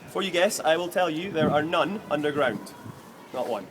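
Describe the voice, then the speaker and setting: A young man with a soft Scottish accent, leading a walking tour outdoors in a chatty, joking way. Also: fairly high pitch, expressive, normal speed. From Scotland. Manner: jokey, chatty, self-deprecating. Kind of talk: outdoor tour guide patter. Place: outdoors on a city street. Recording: street noise behind.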